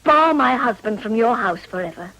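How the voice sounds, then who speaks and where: A young upper-class wife in a period radio drama. Her voice is high and swings expressively as she speaks with formal, imperious resolve and devoted moral indignation. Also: expressive, moderate pace, bright, forceful tone, heavily acted, rough recording. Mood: fervent. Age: young adult.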